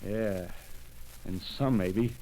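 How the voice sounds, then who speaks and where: An actor playing a rough, power-hungry soldier in a 1930s radio drama, talking in coarse streetwise slang and pressing his point. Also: on the low side, drawn-out words, mellow, slight background noise, poor audio. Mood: scheming.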